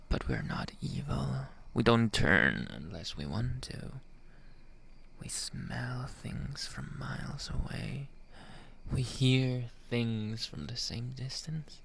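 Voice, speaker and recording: Slow, gravelly man playing a flirty werewolf. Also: mid-range voice, a bit bright, full character voice. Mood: boastful, playful. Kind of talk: ASMR roleplay monologue.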